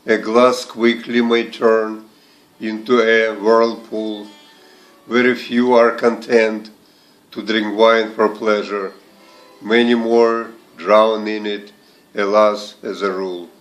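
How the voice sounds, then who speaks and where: A man recites verse at a very unhurried pace, with a mid-pitched voice that stays level. He sets out each short phrase deliberately, leaving pauses between them. Soft guitar music plays faintly behind him. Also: flat delivery, rough recording. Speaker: poetry reader and translator. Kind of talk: slow, measured poetry recitation.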